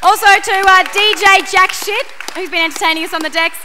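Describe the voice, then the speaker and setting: A woman's voice: extremely high pitch, steady tone, a little fast. A woman hosting a live radio event and announcing thanks to the cast. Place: theatre studio stage with live audience. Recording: noisy live venue with audience crowd.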